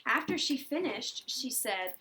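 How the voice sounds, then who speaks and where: Woman, slightly high voice, storytelling, expressive, prompting questions, faint background noise. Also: measured pace, low-quality recording. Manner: expressive, prompting, with dramatic gasps. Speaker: storyteller reading a picture book to a child. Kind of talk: read-aloud storytelling with questions to a child.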